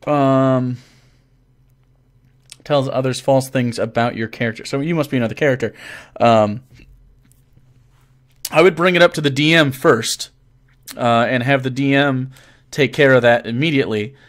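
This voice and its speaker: A man's voice: medium pitch, normal speed, long pauses. A young man chatting casually on a live stream, reading out viewer messages and answering them with profane, rambling jokes. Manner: reads donations and chat messages aloud. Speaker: game master answering viewer questions.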